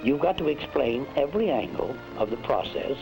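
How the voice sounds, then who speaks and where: An American man acting as a patient, patronizing factory manager in a 1940s instructional film, speaking in a measured, didactic way with hesitant uhs. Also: medium pitch, animated, lightly acted, low-quality recording. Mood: patient, instructive. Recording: vintage 1940s film soundtrack, music.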